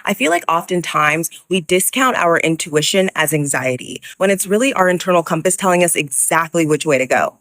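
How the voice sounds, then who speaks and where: A woman's voice: on the low side, no room sound. Woman, reflective advice monologue, conversational, no room sound. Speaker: advice creator.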